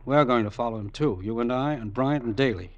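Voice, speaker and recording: An actor playing a shrewd, controlled crime boss in an old radio drama, speaking in terse, deliberate commands. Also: male voice, mid-range voice, lo-fi sound, close to an everyday voice. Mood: calculating, commanding. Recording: noisy old radio recording.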